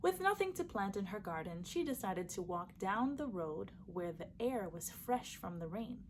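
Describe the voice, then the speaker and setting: A woman reading a folktale aloud for children, lively and shifting her voice for each character. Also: medium pitch, normal speed, a little hiss or hum. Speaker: storyteller. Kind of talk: storybook read-aloud for children.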